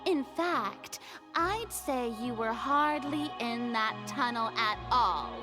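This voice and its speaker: A woman's voice: fairly high pitch, expressive, takes it slowly, music in the background. A woman voicing a vain, theatrical young noble who speaks with grandiose, showy courtroom flourish in a game cutscene.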